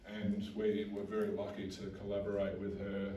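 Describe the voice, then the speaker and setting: An Australian man with a booming voice presents at a community meeting, talking haltingly with many fillers and restarts. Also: monotone, dark tone, a little hiss or hum. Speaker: mining company representative. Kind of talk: community information session presentation. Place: public meeting hall. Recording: roomy hall recorded from a distance.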